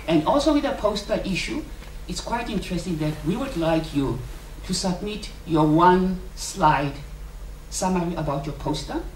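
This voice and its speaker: A woman's voice: lowish voice, expressive, normal speed. A South African woman with South Sotho as her mother tongue, making informal, jokey announcements to colleagues as a conference organiser. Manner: jokey, colloquial, addressing colleagues. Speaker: conference organiser giving welcome and housekeeping announcements. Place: indoor conference venue, speaking to delegates. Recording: roomy, distant microphone, clear background noise.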